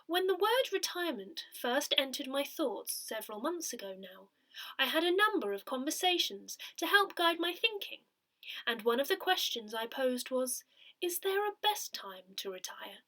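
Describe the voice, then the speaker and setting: A woman reading a church newsletter aloud in a measured, carefully phrased way. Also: high-pitched, very animated, bright, forceful tone. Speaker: volunteer reader of an audio newsletter.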